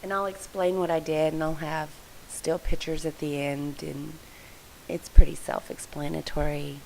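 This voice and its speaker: Hoarse woman, lowish gravelly voice, rambling chatty craft vlogger. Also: level tone, moderate pace, a little mellow, slight background noise, rough recording. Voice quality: froggy, gravelly voice. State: hoarse, congested from allergies. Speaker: scrapbooking video host. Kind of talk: casual voice-over narration of a craft process. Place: home scrapbook room.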